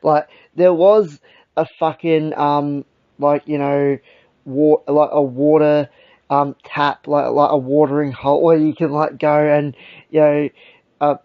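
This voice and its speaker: A young Australian man with a croaky voice, hosting a casual podcast chat, rambling and swearing with lots of fillers. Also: fairly high pitch, no echo. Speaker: podcast host. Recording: dull lo-fi sound.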